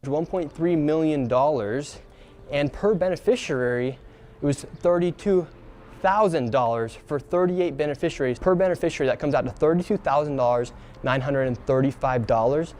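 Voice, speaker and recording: A young man walking city streets on camera, reading out names and figures in a deadpan way. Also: fairly high pitch, normal speed. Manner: deadpan, reads figures aloud. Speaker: on-camera investigator. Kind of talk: walking documentary narration.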